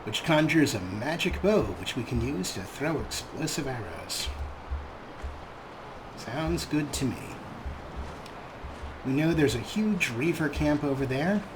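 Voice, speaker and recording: A man's voice: a little high, expressive, measured pace, pauses often, noisy background. A man hosting a gaming let's play, commenting in character with theatrical comic role-play.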